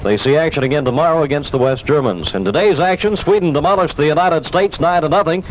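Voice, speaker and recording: A man's voice: fairly high pitch, fast talker. A man reading a scripted 1970s radio sports bulletin. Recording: noisy old 1970s radio aircheck.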